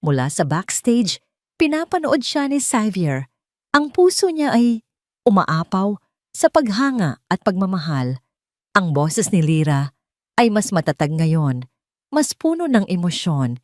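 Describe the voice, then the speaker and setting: A woman with a Filipino accent narrating a scripted love story as a storyteller, with an expressive, dramatic delivery. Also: medium pitch, a little slow, long pauses, dry recording, clean studio sound.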